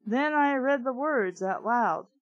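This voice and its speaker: A woman's voice: on the high side, expressive, takes it slowly, no room sound, lo-fi sound. A woman reading a children's storybook aloud and breaking off with opinionated, nitpicking comments. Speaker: amateur reader and commentator of children's books.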